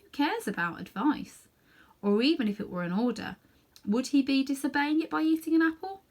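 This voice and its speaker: A woman's voice: high voice, brisk, pitched above the performer's own voice, close to an everyday voice. A woman voicing an earnest, stubborn boy hero in a children's storybook, speaking plainly and directly. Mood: reasoning. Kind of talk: children's storybook character reading.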